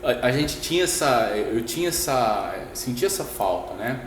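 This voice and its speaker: A relaxed man tells a travel story in a laid-back conversational way, often checking in with the listener. His voice sits at a middle pitch and bounces around a roomy, echoey space, with a long reverb tail and steady background noise. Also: moderate pace. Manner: relaxed, anecdotal, laughs easily, frequent "né?". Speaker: guest, history teacher. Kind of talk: casual storytelling in interview.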